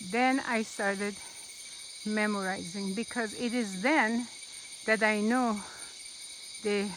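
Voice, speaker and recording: Woman, somewhat high voice, earnest, unhurried, outdoor trail, faint insect hum behind. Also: a bit bright, rough recording. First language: not Arabic. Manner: earnest, unhurried, repeats phrases for emphasis. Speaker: Quran teacher. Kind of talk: walking vlog talk.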